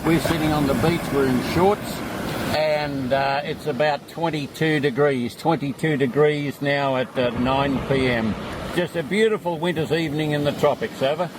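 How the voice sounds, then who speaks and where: An Australian man talking in a relaxed, matter-of-fact way as an amateur radio operator over a shortwave link. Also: a little high, normal speed. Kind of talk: relaxed casual radio exchange. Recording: noisy shortwave radio transmission.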